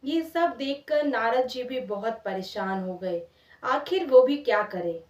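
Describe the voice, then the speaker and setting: High-voiced woman storyteller in an echoey room. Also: animated, unhurried. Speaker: storyteller, channel host. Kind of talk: expressive moral storytelling in everyday words. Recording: roomy echo, distant from mic.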